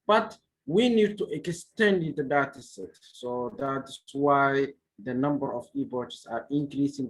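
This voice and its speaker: A man from Somalia presenting research at an online conference, in halting nonnative English with frequent restarts. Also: on the high side, husky, poor audio. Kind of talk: academic presentation.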